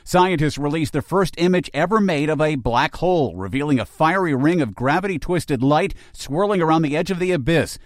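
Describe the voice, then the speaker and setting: A man's voice: on the high side, a little fast, hardly any pauses, no echo, clean studio sound. A man reading a scripted news bulletin as an anchor.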